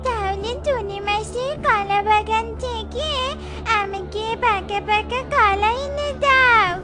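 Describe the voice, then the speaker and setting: A woman's voice: extremely high pitch, slow pace. A woman voicing a frightened little girl bird in a children's cartoon, plaintive and pleading. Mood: wary. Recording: music under the voice.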